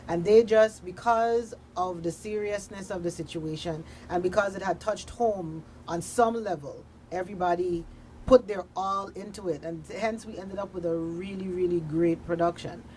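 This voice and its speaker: A woman's voice: lowish voice, a little mellow, poor audio. A woman speaking earnestly and conversationally as a guest in a discussion, repeating phrases for emphasis. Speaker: radio drama producer, studio guest. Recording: noticeable background noise, room ambience.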